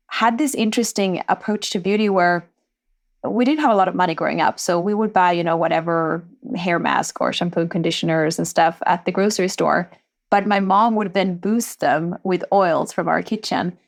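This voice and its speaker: Low-voiced woman guest, reflective. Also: moderate pace, vocal fry. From Sweden. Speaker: entrepreneur guest. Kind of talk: podcast interview.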